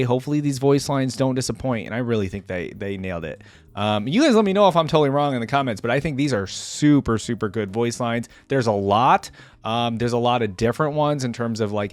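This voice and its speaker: A man's voice: medium pitch, no echo. A man hosting a reaction video, giving casual, unscripted commentary with enthusiastic, emphatic repetition.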